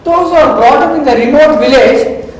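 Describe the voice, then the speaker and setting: A man giving a formal lecture, speaking deliberately with many pauses and fillers. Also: extremely high pitch. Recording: echoey hall, distant mic, faint music.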